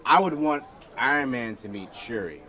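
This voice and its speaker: A man's voice: mid-range voice, animated, normal speed, bright, forceful tone. A man chatting informally and a little tentatively on a fan panel. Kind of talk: casual chat.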